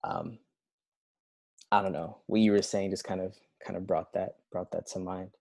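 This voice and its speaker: A man's voice: deep voice, expressive, normal speed, gravelly, darkish tone, no echo, studio quality. A young man in a casual group discussion, speaking reflectively and hesitantly with many ums. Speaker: participant.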